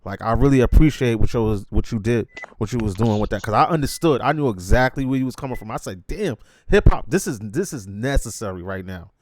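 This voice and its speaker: A man with an average-pitched voice hosts in a casual, slangy way. He is affirming and openly appreciative. His sound is dry, with very little room in it. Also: animated, a little fast, few pauses, darkish tone, dry recording. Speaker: interviewer, host.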